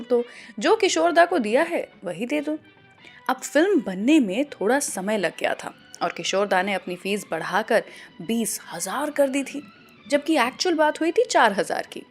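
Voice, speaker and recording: A woman hosting a radio show, telling scripted anecdotes between songs in an animated storytelling way. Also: a little high. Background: music behind the voice.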